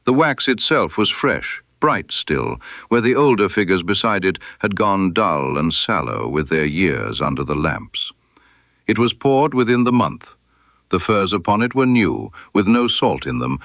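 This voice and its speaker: A man voicing a stern, incisive detective in an audiobook mystery, precise and emphatic, with dramatic pauses. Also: sits low, big pitch swings, dry recording, dull audio, full character voice. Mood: accusing, precise. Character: stern, incisive male detective laying out his case.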